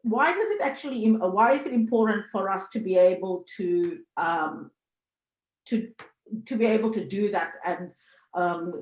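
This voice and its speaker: A woman from Sri Lanka moderating a panel discussion, speaking earnestly with many pauses and fillers. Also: medium pitch, darkish tone. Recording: roomy echo.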